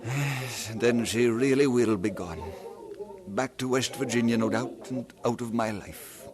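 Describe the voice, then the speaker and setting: An actor playing an earnest young Scottish preacher who is shy about love, in a radio drama. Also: male voice, mid-range voice, a little slow, crowd noise, lightly acted. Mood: downcast. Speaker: pastor.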